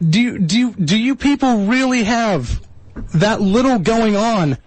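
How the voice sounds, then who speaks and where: A man's voice: sits high, expressive, measured pace. A man hosting a live call-in radio show in a folksy, exasperated way, often repeating himself.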